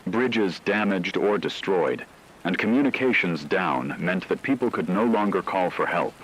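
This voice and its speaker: Deep-voiced solemn male narrator. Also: a bit bright, slightly rough, poor audio. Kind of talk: scripted apocalyptic story narration.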